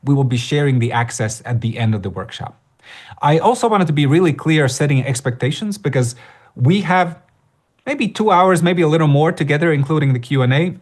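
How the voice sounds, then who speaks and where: A man's voice: fairly high pitch, expressive, brisk. A middle-aged man from Latvia hosting a live online workshop, talking conversationally with frequent fillers and restarts. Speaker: workshop host. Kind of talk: live webinar presentation.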